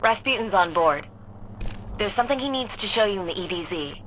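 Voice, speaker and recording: A woman's voice: medium pitch, expressive, noisy background, close to an everyday voice. An actress playing a confident, wry young woman engineer who briefs the player in a video game. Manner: wry, brisk and self-assured. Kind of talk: scripted video game dialogue.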